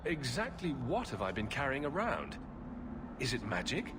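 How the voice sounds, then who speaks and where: An actor playing a blunt, wry human adventurer in a fantasy video game, with a dry, casual manner. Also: male voice, mid-range voice, wildly swooping pitch, normal speed, noisy background. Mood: curious.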